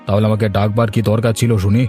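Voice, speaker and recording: A greedy village husband from a cartoon, played by a man, grumbling in a low, dark voice. He is sarcastic and grumpy, with music playing behind him. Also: level tone, very fast, natural voice. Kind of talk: Bengali cartoon story acting. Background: background music.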